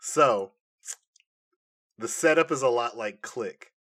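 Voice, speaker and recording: Male podcast host, bright forceful voice, average pitch, informal, halting, conversational. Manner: informal, halting as he thinks aloud. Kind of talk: casual chat, pitching comic movie ideas.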